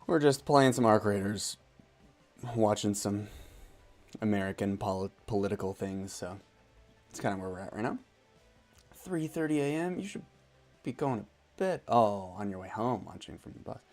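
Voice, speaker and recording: Low gravelly streamer guy, laid-back rambly chat, a bit of music under it. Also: unhurried, pauses often. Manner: relaxed, chatty, reads out chat messages. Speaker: streamer host. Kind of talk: casual livestream chat while gaming.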